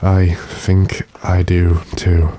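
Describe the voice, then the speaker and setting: A very low, dark-toned voice of a male actor as a gothic mystery investigator in a radio play. He stays measured and authoritative, in a close, dry recording. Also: completely flat delivery, no echo. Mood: calm questioning. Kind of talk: old-time radio drama acting.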